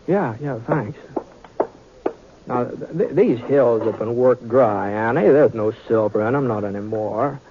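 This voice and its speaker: A man's voice: medium pitch, natural voice. A man playing a mild, reasonable cowboy hero in a radio western, speaking in a hesitant, folksy way. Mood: earnest, patient. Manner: hesitant, halting, folksy drawn-out phrasing. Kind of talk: old-time radio western acting. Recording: noisy, tinny vintage radio recording.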